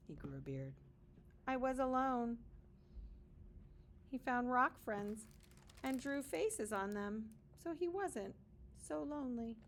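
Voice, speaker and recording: A woman with a slightly high voice reads a storybook aloud for small children. She takes her time, speaking very slowly and deliberately. Key words get dramatic emphasis, and she adds short asides to explain the pictures. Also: a bit bright. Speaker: kindergarten teacher reading aloud. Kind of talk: expressive children's picture-book read-aloud.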